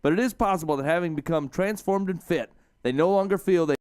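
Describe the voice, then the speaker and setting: A man co-hosting a radio talk show with a loud, joking manner, reading out listener comments. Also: sits high, fast talker, clear, resonant tone, no room sound, clean studio sound. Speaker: radio co-host.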